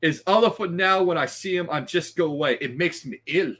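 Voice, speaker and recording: A man's voice: a little high, brisk, a bit bright. A man voicing a young, deferential but scrappy Cockney bellboy who talks in ungrammatical, indignant bursts in a read-aloud story. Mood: uneasy, earnest. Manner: ungrammatical, peppered with respectful address.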